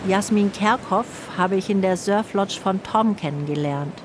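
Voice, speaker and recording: A woman narrating a radio feature in a calm, personal, questioning way. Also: mid-range voice, normal speed, noisy background. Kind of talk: scripted reflective narration. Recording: studio narration over music.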